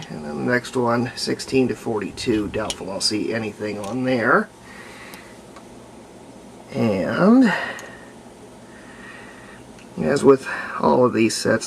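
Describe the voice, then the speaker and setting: A man's voice: mid-range voice, vocal fry, slight background noise. A man with a folksy way of talking narrates hands-on repair work casually, thinking aloud. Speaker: radio restoration hobbyist.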